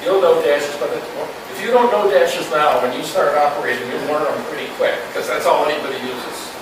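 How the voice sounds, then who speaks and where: A man's voice: fairly high pitch, brisk. A man giving an informal, reassuring, rambling instructional talk to a club group. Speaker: presenter at an amateur radio club. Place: indoor meeting room with audience. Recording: very echoey, noisy room, distant mic.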